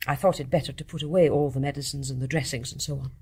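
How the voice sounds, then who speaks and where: An actress playing a formal, insistent, dutiful British private nurse in a radio drama. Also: female voice, very deep voice, fairly even intonation, fast, darkish tone, slightly rough, full character voice. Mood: grave, precise. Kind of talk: British stage-play radio acting.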